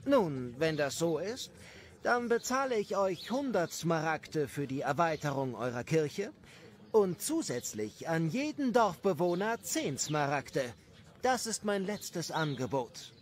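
Man acting a charming, scheming count, lively and swooping. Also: a little high, measured pace. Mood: smooth, persuasive. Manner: courtly, effusive, flattering. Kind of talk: dramatized audio story.

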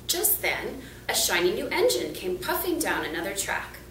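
Animated woman reading a picture book, roomy sound. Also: medium pitch, moderate pace. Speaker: volunteer storybook reader. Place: small indoor room, seated. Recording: roomy, distant camera mic, faint noise.

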